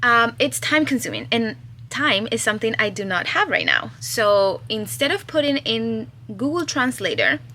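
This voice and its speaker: A woman's voice: fairly high pitch, lively intonation, normal speed, bright, forceful tone, a little hiss or hum. A young woman from Chile, a native Spanish speaker, chatting casually at home about her knitting and crochet designs and thinking aloud. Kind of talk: casual vlog chat.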